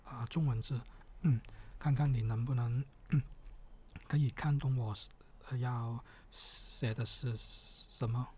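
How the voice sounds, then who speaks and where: A man speaking gently and patiently in soft, partly whispered ASMR, with hesitant, step-by-step phrasing. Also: mid-range voice, steady tone, unhurried, husky. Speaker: ASMR performer.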